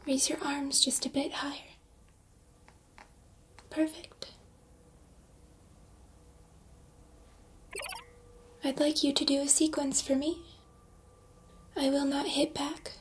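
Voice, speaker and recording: Woman acting as female combat android, high breathy whisper, flat intonation, calm, measured, encouraging, ASMR roleplay. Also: a little hiss or hum. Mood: calm, instructing. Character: gentle, encouraging female combat training robot, curious about humans. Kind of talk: soft-spoken ASMR roleplay.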